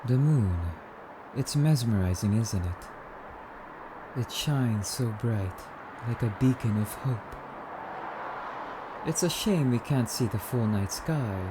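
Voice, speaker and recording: A man's voice: fairly low pitch, expressive, takes it slowly, noisy background. A man playing a courtly, wistful male vampire in an ASMR roleplay, speaking in a musing, slightly archaic, haughty yet polite manner. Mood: dreamy, musing. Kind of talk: ASMR roleplay monologue addressed to listener.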